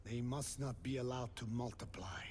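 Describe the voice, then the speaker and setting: An actor playing a weary, tormented but defiant old captive elder in a video game, solemn, breathy and halting. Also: male voice, medium pitch, a little mellow, slight background noise, lightly acted. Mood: anguished. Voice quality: breathy, whispery. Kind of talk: dramatic video game voice acting.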